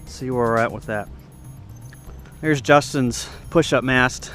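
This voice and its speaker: A man's voice: mid-range voice, slow pace, a bit bright, soft background music. A man giving an informal, unscripted walkthrough of a camp setup outdoors, explaining things and thinking aloud as he goes. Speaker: ham radio hobbyist giving a video tour. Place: outdoor campsite.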